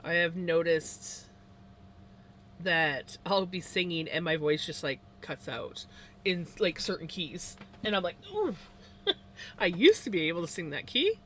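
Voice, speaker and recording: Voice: mid-range voice, very animated, a little slow, a bit bright, a little hiss or hum. A livestreamer chatting casually, rambling with lots of fillers and restarts. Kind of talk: casual chat on a live stream.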